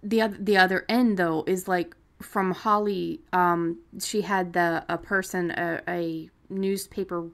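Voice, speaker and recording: Female podcast co-host, slightly low voice, unscripted and blunt, conversational with salty language. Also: steady tone, unhurried, hardly any pauses. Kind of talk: casual unscripted discussion.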